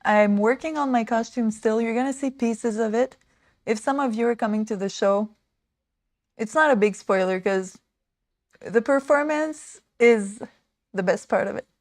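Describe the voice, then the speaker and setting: A woman in her thirties hosting a casual makeover livestream, rambling and excitable, with lots of "like" and "um". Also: a little high, normal speed, no echo, studio quality. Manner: rambling, excitable, many fillers like "like" and "um". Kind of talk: casual chatty livestream talk. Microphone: small desk mic.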